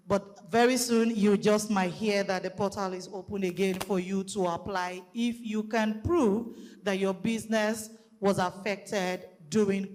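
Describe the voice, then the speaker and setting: A Nigerian woman speaking at a conference, loud-voiced and methodical as she explains programs with light fillers. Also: medium pitch. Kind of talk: public presentation. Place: conference hall. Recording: long hall reverb.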